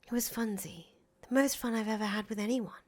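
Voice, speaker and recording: A woman voicing an anxious, needy young woman in a visual novel, with a breathy, half-whispered delivery. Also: on the high side, steady tone, a little fast, pitched above the performer's own voice, natural voice. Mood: regretful, pleading. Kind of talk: acted visual novel dialogue.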